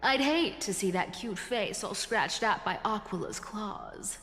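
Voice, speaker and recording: A breezy young female rogue for a video game. She teases and lilts, drawing words out slowly with a lively, swinging pitch. The voice rings in a long reverb. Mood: teasing. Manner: teasing, flippant, drawn-out playful delivery. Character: playful teasing cat-like young woman, breezy rogue.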